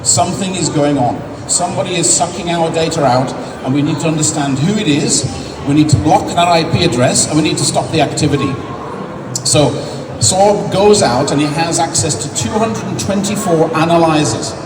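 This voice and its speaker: English guy presenting at a conference, bit high voice, heard from far off in a big echoey hall. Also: moderate pace, background noise. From England. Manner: explanatory, casual with many restarts. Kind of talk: live tech demo talk. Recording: echoey hall recorded from far off with loud ambience.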